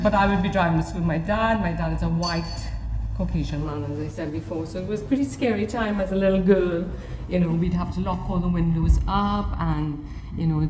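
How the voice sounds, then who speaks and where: Trinidadian woman, fairly low voice, echoey room. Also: dark tone. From Trinidad and Tobago. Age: 50s. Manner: conversational, often checking in with "you know". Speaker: artist giving a talk. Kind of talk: informal artist talk, personal recollection. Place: gallery talk in a room. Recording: echoey room, noticeable background noise.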